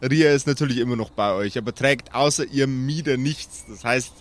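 A man narrating an improvised pen-and-paper story as game master, measured, with dramatic pauses. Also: mid-range voice.

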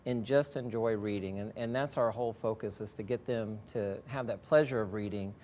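A man's voice: lowish voice, a little fast, darkish tone, slight background noise. A man, a school educator, answering interview questions in a plainspoken way, repeating phrases for emphasis.

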